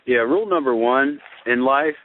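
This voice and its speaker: A man's voice: mid-range voice, moderate pace. A man co-hosting a talk show, giving conversational astrological analysis in a wordy, expository way with rhetorical questions. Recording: narrow phone-like radio sound.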